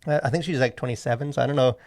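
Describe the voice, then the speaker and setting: Casual male podcast host with some creak. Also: mid-range voice, darkish tone. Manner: halting, rambling, filler-heavy delivery.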